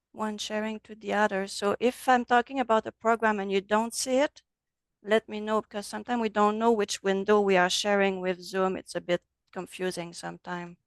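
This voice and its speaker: A woman with a French accent, a native French speaker, teaching a relaxed online software class over a video call. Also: mid-range voice, dry recording. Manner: friendly, loose, switches between English and French. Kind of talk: casual online class walkthrough.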